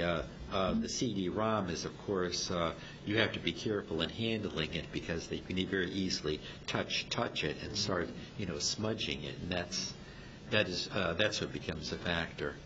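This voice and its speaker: Deep-voiced, halting man explaining technical matters. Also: a bit bright. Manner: halting, hesitant, explanatory delivery. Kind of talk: informal technical talk to a club meeting. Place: club meeting room. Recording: dull, noisy amateur meeting recording with rumble.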